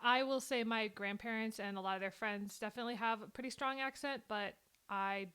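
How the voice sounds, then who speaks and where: Upbeat Minnesotan vlogger in her late 20s, smooth and clear voice, a bit higher than most. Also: a little fast, sharp tone. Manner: chatty, upbeat, explanatory. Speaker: vlogger reacting to a film. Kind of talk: casual commentary.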